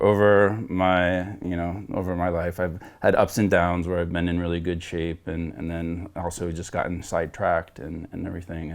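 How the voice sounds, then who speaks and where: A man in his forties from Florida, sharing his personal story as a coaching client over a video call, earnest and reflective, rambling with restarts. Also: low voice. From Florida, United States. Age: 40s. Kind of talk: candid personal testimonial.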